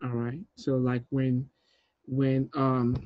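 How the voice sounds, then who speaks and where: A man's voice: mid-range voice, completely flat delivery, slow. Dull-sounding man on a video call. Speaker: director reading a screenplay at a table read. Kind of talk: casual script reading and notes. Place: indoor room on a video call. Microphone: video call with earpiece.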